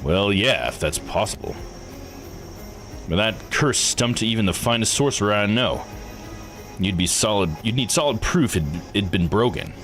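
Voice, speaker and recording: A man voicing a gruff, folksy old island boss in a visual novel, blunt and dismissive. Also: lowish voice, lively intonation, moderate pace, a bit bright, soft background music. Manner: blunt, slangy, domineering. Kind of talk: visual novel read-aloud voice acting.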